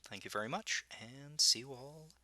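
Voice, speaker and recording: A man teaching a language lesson, slowly sounding out syllables, with a slight Spanish tinge to his English and many "uh"s and "um"s. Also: medium pitch, pushed and bright. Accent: English with some Spanish influence. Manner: slow, careful, with frequent "uh" and "um".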